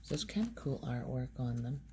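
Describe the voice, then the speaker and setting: A soft-spoken woman recording casual ASMR, rambling in a hushed voice and musing aloud as she goes. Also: a bass voice, expressive, fairly quick, a little hiss or hum. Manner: hesitant, musing aloud, trailing off. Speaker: ASMR video creator.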